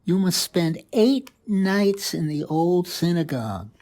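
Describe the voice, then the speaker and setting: Woman as a slow, sorrowful village rabbi. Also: fairly low pitch, very animated, warm tone. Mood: grave warning. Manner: grave, deliberate. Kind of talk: dramatic storybook reading.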